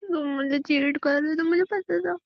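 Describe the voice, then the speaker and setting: A woman's voice: sits high, fairly even intonation, normal speed, no echo. A young woman playing a betrayed, indignant girlfriend who accuses and repeats herself in a phone argument. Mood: hurt, dismissive. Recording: muffled lo-fi phone call recording.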